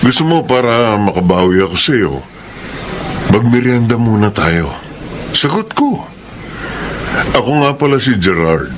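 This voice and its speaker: Casting: actor as smooth-talking young male suitor, lowish pitch, slow coaxing delivery, romance drama, noisy background. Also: sing-song. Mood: charming, coaxing.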